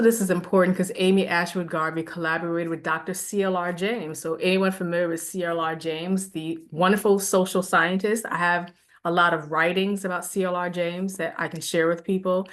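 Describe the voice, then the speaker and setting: A woman lecturing a class in a casual, conversational way, animated and laughing at times. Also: lowish voice.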